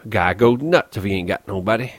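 Man playing a bitter, lonely stable hand, low-pitched. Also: normal speed, no room sound, natural voice. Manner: brooding, colloquial rural ranch talk.

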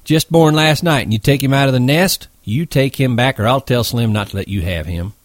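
Man playing a gruff, exasperated ranch hand, dry close sound. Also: lowish voice, lively intonation, moderate pace, no echo. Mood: stern. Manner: blunt, folksy, emphatic.

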